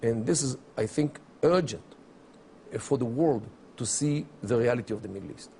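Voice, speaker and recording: A middle-aged man from Israel, not an English speaker, giving deliberate interview answers full of pauses and fillers. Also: mid-range voice, expressive, vocal fry, mellow, slight background noise. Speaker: interview guest, academic. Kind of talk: measured explanatory interview answers.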